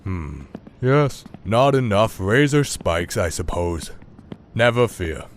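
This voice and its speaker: A man's voice: fairly low pitch, sing-song, slow pace, a little hiss or hum. A man playing a smug, scheming scientist businessman villain, speaking in a suave, wordy, theatrical and mocking way. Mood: smug, teasing. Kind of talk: audio drama villain acting.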